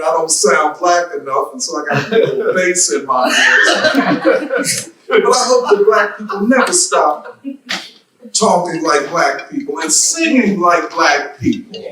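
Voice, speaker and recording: Slow man preaching in an echoey church. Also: fairly high pitch, sharp tone, slight background noise. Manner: slow deliberate preaching with rhetorical questions. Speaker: guest speaker. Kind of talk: church lecture speech. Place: church hall. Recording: echoey hall from far off.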